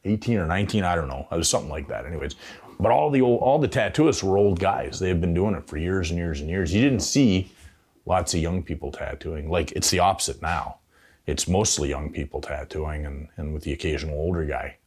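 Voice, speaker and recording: A Canadian man in his fifties telling stories casually as an interview guest, in a halting, thoughtful way. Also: sits low, lively intonation, darkish tone, slightly rough. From Ontario, Canada. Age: 50s. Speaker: veteran tattoo artist, interview guest. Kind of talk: casual reminiscing, storytelling.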